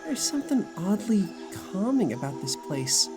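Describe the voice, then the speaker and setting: Woman as a young adventurer boy, soft wonder, really lively up and down pitch, faint music behind. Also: normal speed, natural voice. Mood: awed. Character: gentle wide-eyed young traveller boy, full of wonder. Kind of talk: audio drama acting.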